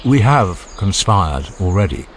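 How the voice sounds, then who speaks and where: Low guy narrating an old philosophy dialogue, formal and measured but really animated intonation, some ambient noise behind. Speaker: audiobook narrator. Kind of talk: dramatized reading of a classical philosophical dialogue. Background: ambient sound effects.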